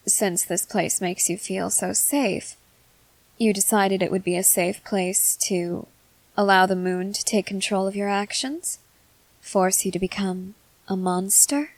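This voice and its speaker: A woman playing a composed, inquisitive young female soldier in an ASMR roleplay, speaking formally in measured, deliberate phrases. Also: mid-range voice, no echo, rough recording. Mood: curious, polite. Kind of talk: scripted ASMR roleplay, acted to the listener.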